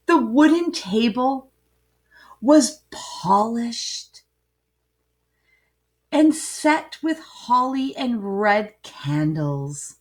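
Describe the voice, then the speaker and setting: A woman reading a children's storybook aloud in an expressive, dramatic way, with asides to young listeners. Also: on the high side. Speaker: picture book reader.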